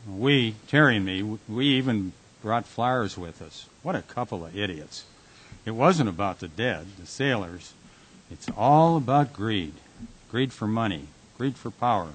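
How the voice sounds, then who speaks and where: An actor playing a tormented, haunted man in a radio drama, speaking in a brooding, halting, intense way. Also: lowish voice, animated, slightly rough. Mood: troubled, searching. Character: Jack, a tormented man haunted by falling dreams. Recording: hissy amateur recording.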